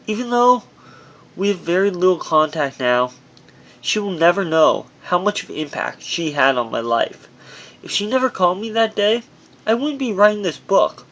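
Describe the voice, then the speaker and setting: A man's voice: high voice, animated, normal speed, fairly bright tone, lo-fi sound. A man reading his own memoir aloud as scripted audiobook narration, in an earnest manner with occasional stumbles over words.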